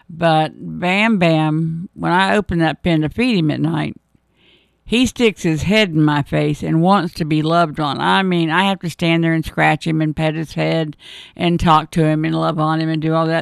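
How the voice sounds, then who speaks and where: A hoarse middle-aged woman hosting a radio show, chatting in a rambling, homespun way with many fillers. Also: sits low, no room sound, clean studio sound. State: hoarse, froggy voice. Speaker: radio host. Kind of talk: casual on-air chat.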